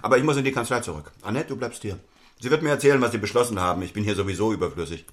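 A cynical, self-important lawyer from a drawing-room comedy, played by a man. His voice is lowish and he rattles along very quickly, dry and sarcastic, with no patience for anyone. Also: natural voice. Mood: impatient, cynical. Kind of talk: stage comedy dialogue in German audio drama.

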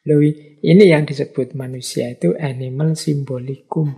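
A man with a slightly hoarse voice, giving a relaxed philosophy lecture and acting out everyday reactions. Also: medium pitch, normal speed.

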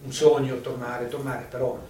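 A man's voice: medium pitch, flat delivery, moderate pace, dark tone. An older man recounting his own memories in a conversational way, as testimony. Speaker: witness giving testimony. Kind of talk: personal recollection, conversational storytelling. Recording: roomy, distant, noisy video interview sound.

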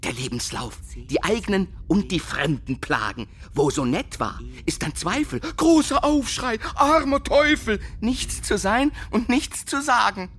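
An actress playing a musing teacher lecturing on poetry in a radio drama, incantatory and repeating syllables. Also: female voice, medium pitch, animated, a little slow, hardly any pauses, fairly bright tone, a little hiss or hum. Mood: lecturing, poetic. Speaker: actor.

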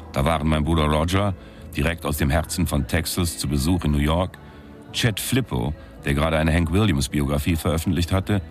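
A cynical country singer turned sleuth, narrating a radio crime story in an extremely low voice. He is deadpan and sardonic, with faint music behind him.

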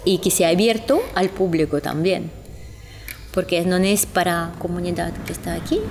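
A woman reflecting casually in halting, non-native phrasing. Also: fairly low pitch, fairly even intonation, vocal fry, a little hiss or hum.

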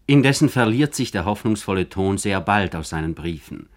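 A male narrator with a fairly low voice reads a biographical radio feature. His delivery is formal and measured, clearly scripted. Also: animated.

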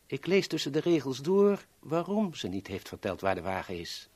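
Husky, courteous cold-blooded gunman. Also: medium pitch, sing-song, darkish tone. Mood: insistent. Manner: formal, deliberate, drily polite. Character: courteous, cold-blooded male gunman, dryly matter-of-fact. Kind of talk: 1970s Dutch radio drama acting.

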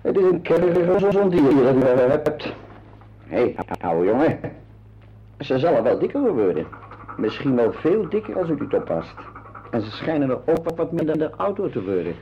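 Genial vet, lively intonation. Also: male voice, a little high, normal speed, a little hiss or hum. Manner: informal, advising. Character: genial, tactful male veterinarian. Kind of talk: radio drama acting, conversational. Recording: dull lo-fi radio play recording.

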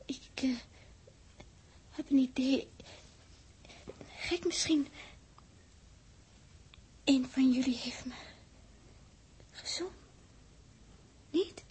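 An actress playing a weary, tender and easily alarmed young woman crew member in a 1960s radio drama, speaking haltingly and often half-whispering. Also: high-pitched, a little mellow, a little hiss or hum. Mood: tired, tentative. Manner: halting, breathy half-whispers, swelling to emotional outbursts.

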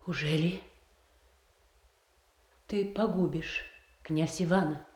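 A woman's voice: on the low side, fairly even intonation, takes it slowly, darkish tone, roomy sound. An actress playing a noble, earnest Tsarina who pleads solemnly with her brother in a classical verse radio drama. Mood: earnest pleading. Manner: solemn, theatrical, imploring delivery. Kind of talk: classical verse tragedy in a Russian radio play.

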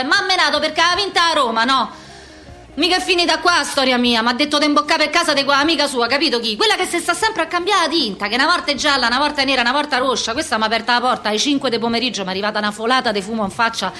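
Brash Roman street girl: very high, sharp voice, coarse slang, combative theatrical rambling. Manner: coarse, exclamatory, repetitive street talk.

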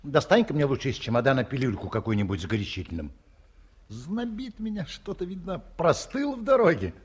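Brief: a tired older scholar with a chill, husky and very expressive, swinging widely in pitch. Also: male voice, brisk. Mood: weary. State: feverish chill. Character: aging male academician, weary, chilled, indulgent. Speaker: academician geologist, the father. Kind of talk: 1950s radio play acting.

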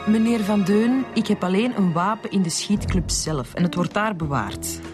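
A woman's voice: medium pitch, moderate pace, music in the background, close to an everyday voice. An actress playing a defensive, impatient businesswoman with a Flemish accent, questioned by police in a radio drama.

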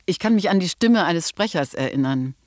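An actress in a radio play as a reflective adult recalling childhood memories in a measured monologue. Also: female voice, fairly low pitch, expressive, a little hiss or hum, natural voice. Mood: wistful remembering.

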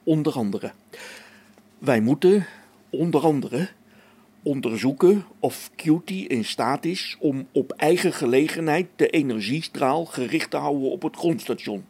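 A man's voice: a little high, lively intonation, a little slow, darkish tone, breathy edge, full character voice. An actor playing a harried space station engineer in a radio play, thinking aloud as he reasons things out. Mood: dawning realisation.

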